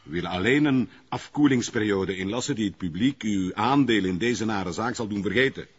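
An actor playing a pompous, evasive middle-aged burgomaster in a TV crime drama, with formal, wordy phrasing and a Flemish Belgian accent. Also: male voice, fairly low pitch, fairly quick, slightly rough. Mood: placating. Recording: muffled, lo-fi broadcast audio.

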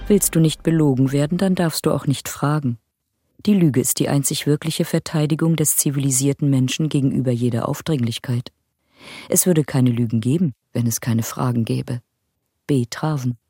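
An actor playing a sardonic writer, a man delivering aphorisms in a measured, husky voice as a literary quotation in a radio drama. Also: medium pitch, dark tone, no echo.

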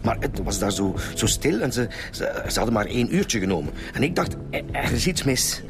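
An actor playing a fussy, flustered Flemish hotelkeeper, stammering, rambling and self-pitying, in a radio drama. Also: male voice, on the low side, big pitch swings, brisk, music in the background. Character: flustered, fussy male keeper of a seedy hotel. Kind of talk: naturalistic radio drama acting.

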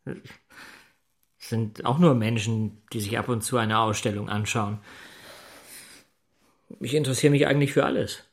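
An actor playing a sober, understated middle-aged systems consultant in a German radio drama. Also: male voice, lowish voice, a little slow, pauses often, breathy edge. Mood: dry, intimate. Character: sober male Berlin systems consultant in a love affair. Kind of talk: German radio drama dialogue and inner narration.

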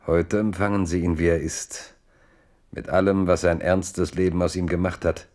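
A man as a solemn chronicler in a radio drama. His voice is deep, and he narrates history deliberately, with a dramatic weight to the phrases. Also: level tone, a little mellow, close to an everyday voice. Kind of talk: scripted radio play narration.

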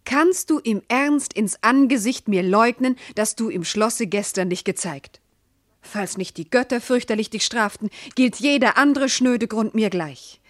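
Proud, wounded noble wife, earnest. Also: female voice, on the high side. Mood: indignant, wounded. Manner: earnest, elevated, declamatory verse delivery. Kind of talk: classical verse radio drama acting.

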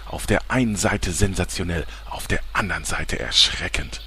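A man's voice: sits low, normal speed, bright, forceful tone, a little hiss or hum. A man playing a pompous, sleazy, easily flustered TV reporter in an audio parody, with dramatic announcer delivery. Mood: sensational, dramatic.

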